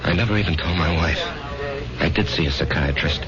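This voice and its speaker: Man acting anxious, bitter American war veteran, low voice. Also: a little fast, a crowd in the background, lightly acted. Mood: wry, weary. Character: frightened, bitter war veteran haunted by dead buddy. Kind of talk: 1970s radio drama acting. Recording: muffled vintage radio recording with music.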